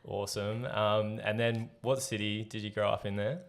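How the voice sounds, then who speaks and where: A man hosts a casual podcast interview, upbeat and encouraging with his guest. His voice is on the lower side. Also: flat delivery, normal speed. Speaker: podcast host.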